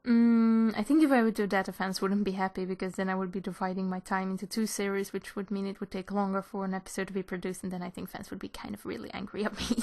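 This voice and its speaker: A woman chats casually as she answers questions from viewers. Her voice sits at a medium pitch with a noticeable creak. Her delivery is informal and a little hesitant, as in a homemade video from her own room. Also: little pitch movement, fast talker, few pauses, darkish tone. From Belgium. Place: in a room at home.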